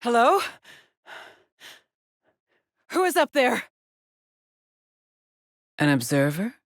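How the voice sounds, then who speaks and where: Game lead: weary, obsessive woman explorer, husky and deliberate, slipping into whispers, very dry close recording. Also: rumbling low, no echo, exaggerated voice. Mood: tense, awed. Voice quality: husky, breathy, often dropping to a whisper. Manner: slow, deliberate, cryptic pronouncements. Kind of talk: dramatic video game monologue, log-like narration.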